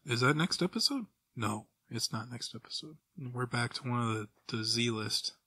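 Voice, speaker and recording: A man's voice: mid-range voice, expressive, moderate pace, bright, forceful tone, slightly rough, no room sound. A man co-hosting a podcast in casual, conversational group chat. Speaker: podcast co-host.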